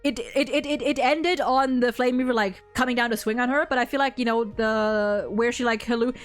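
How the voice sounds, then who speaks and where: A woman with a fairly high voice talks through a game story as a fan and streamer. She is effusive and loose, swearing and repeating words as she goes. Soft music plays behind her. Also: fairly even intonation, fairly quick. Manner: effusive, swearing, stammering repetitions. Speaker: streamer co-hosting a video game story ranking. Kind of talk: casual animated fan discussion.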